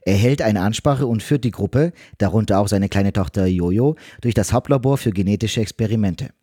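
A man's voice: on the low side, brisk, warm tone, slightly rough, no room sound, studio quality. A man narrating a scripted film recap in a wry, tongue-in-cheek manner.

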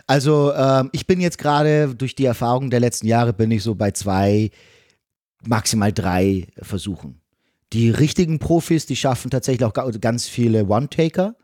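A man's voice: mid-range voice, moderate pace, a little mellow, dry recording, clean studio sound. A man with a German accent speaking casually as a podcast guest and voice actor, explaining his work with emphatic repetitions. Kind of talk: casual conversational explanation of the craft.

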